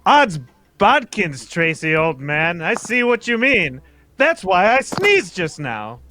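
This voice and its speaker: A very high-pitched foppish sidekick. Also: male voice, big pitch swings, bright, forceful tone, heavily acted. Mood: pompous, amazed. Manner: affected, quaint exclamations, drawn-out delivery. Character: eccentric, foppish male sidekick, pompous and excitable. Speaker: amateur voice actor in live table read. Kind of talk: old-time radio drama acting.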